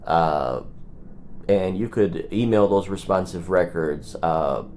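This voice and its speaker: A man's voice: deep voice, slow pace, a little mellow, slight background noise. A man hosting a live call audit, speaking in an insistent, straight-to-the-point way as he makes phone requests and chats to his viewers. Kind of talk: phone requests and casual livestream commentary.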